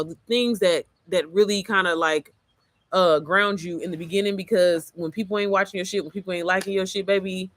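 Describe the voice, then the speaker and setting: A young adult woman coach with a low, manly-sounding voice chats loosely and swears freely as she clicks through a course on screen. She keeps calling the listener girl and baby. The close, dry recording has almost no room sound. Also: a bit bright, dry recording. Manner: chatty, profane, calls the viewer girl and baby. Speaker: online business coach. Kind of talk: casual screen-share walkthrough.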